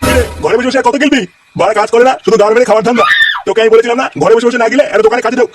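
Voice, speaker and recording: A man's voice: very high voice, fairly even intonation, rapid-fire, recorded from a distance, very noisy. A man voicing an irascible, foul-mouthed, blustering cartoon father who scolds coarsely and indignantly. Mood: scolding. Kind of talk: comedy cartoon dubbing.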